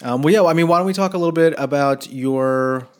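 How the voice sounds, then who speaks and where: A man's voice: on the high side, a little fast, clear, resonant tone. A man interviewing a singer in a casual chat, hesitant and rambling with many fillers.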